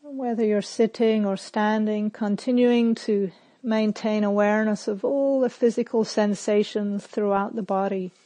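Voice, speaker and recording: A woman meditation teacher leading a guided meditation in short, measured phrases with long pauses. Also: medium pitch, steady tone, dark tone.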